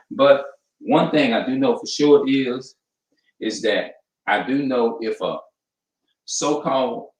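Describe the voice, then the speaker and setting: Low-voiced New man, rambling. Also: far from the mic. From New Orleans, USA. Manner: rambling, hesitant, many restarts and fillers. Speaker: channel host. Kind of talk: casual vlog talk. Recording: echoey room, voice off-mic.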